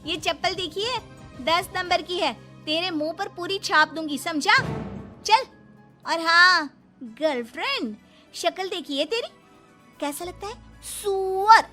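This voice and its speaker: Woman acting a high, chattering bossy teenage girl. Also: slow, pushed and bright, faint music behind, full character voice. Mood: scolding, annoyed. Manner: talkative, scolding, fussy. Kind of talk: acted moral story dialogue.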